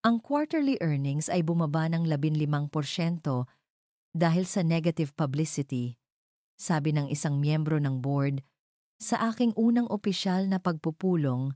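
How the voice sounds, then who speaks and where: A woman reading a dramatic first-person story as a narrator, in a measured, deliberate way. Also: deep voice, expressive, warm tone, dry recording, studio quality.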